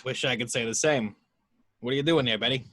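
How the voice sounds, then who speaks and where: A man playing a world-weary private detective, gruff and dryly unamused, in an amateur table read. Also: medium pitch, fairly quick, pushed and bright.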